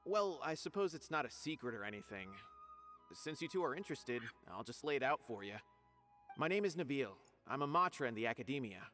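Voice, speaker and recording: A polite male investigator character in a video game. He explains things earnestly in a measured, mid-range voice. Soft music plays underneath. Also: mid-range voice, sing-song. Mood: polite, explaining.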